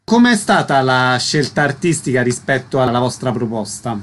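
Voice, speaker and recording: A man's voice: mid-range voice, expressive, normal speed, a bit bright. A man hosting a radio interview, putting concise questions and reading out announcements.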